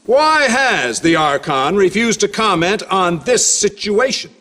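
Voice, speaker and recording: A man's voice: high-pitched, animated, measured pace, a bit bright, a little hiss or hum. An actor playing an indignant, theatrical male TV debate host in a game, emphatic and challenging. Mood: combative.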